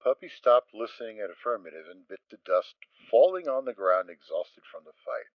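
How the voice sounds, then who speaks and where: A man's voice: on the low side, very animated, brisk, no room sound, dull audio. A man narrating a dramatic story as a scripted reading.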